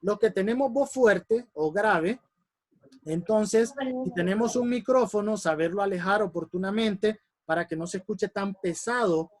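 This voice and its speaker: Animated man teaching public speaking. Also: high-pitched, unhurried, dry recording. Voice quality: strong voice. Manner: animated, repeats examples for emphasis. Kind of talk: workshop lesson. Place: classroom or online lesson.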